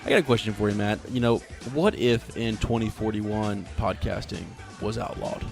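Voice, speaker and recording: A man's voice: lowish voice, normal speed, creaky, faint music behind. A man chatting casually as the co-host of a podcast. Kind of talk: casual chat.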